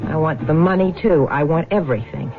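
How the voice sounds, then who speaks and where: An actress playing a greedy, scheming wife with a sly, slangy, drawling manner in a 1970s radio drama. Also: female voice, low voice, brisk, phone-quality sound, music in the background. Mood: greedy, calculating. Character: greedy, scheming, unfaithful wife, hard-boiled and brassy. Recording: thin old radio broadcast.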